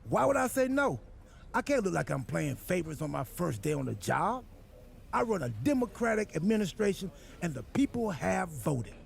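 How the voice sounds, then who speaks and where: An actor playing a goofy, self-important wrestler turned boss in a video game cutscene, with a gravelly, husky voice and an earnest, absurdly literal, rambling manner. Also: male voice, sits high, sing-song, normal speed, a little hiss or hum, exaggerated voice. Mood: smug, cheerful. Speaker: wrestler acting as general manager.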